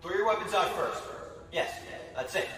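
An actor playing a firm military lieutenant, speaking formally and with authority in an audio drama, in a distant echoing space. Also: male voice, high-pitched, lively intonation, normal speed, a bit bright. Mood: firm, commanding. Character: firm imperial military lieutenant demanding surrender. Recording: distant, very echoey hall with music.

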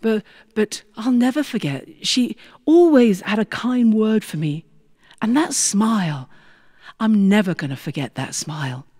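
Sentimental male convict played by a woman storyteller, earnest, halting, lively pitch, dry close sound. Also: husky, dry recording. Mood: tender, grieving. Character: male prison inmates, plain-spoken, sentimental grown men.

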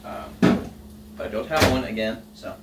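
A man's voice: lowish voice, expressive, a little slow. Young man chatting in a home kitchen. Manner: chatty, offhand, lots of fillers. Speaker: home cooking vlogger. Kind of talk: casual cooking vlog. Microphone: camera on the counter, across the kitchen. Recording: roomy, distant camera audio with noise.

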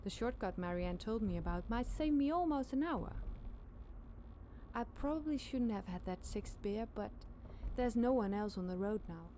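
A woman tells a scary campfire tale in scripted podcast narration. Her voice sits at an average pitch. Clear nature noise with animal calls runs behind her. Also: lively intonation, moderate pace, dark tone. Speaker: podcast storyteller.

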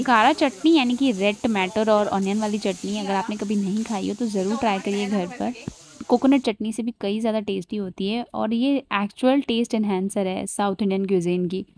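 A woman's voice: medium pitch, darkish tone. A woman in her thirties chatting through a home cooking vlog, slipping English phrases into her talk. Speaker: cooking vlogger. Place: home kitchen, indoors.

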